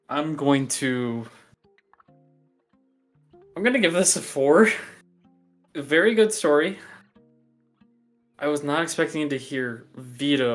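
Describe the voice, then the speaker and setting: A man chatting casually as a judge on a group livestream, giggly and a bit hesitant. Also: mid-range voice. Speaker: guest judge on a fanfiction contest stream.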